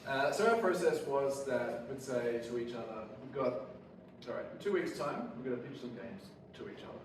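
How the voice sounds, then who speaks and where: A man with a croaky, tired voice tells his story with dry self-deprecating humor. He speaks from a distance, and the big hall fills his voice with echo over a constant hiss of noise. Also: mid-range voice, animated, moderate pace. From Australia. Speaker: board game publisher. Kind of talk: conference talk. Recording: echoey hall, speaker far from mic, audible noise.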